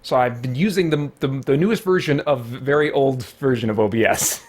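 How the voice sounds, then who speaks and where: A man's voice: medium pitch, a little fast, husky. A man hosting a live stream with casual, rambling, self-deprecating chat.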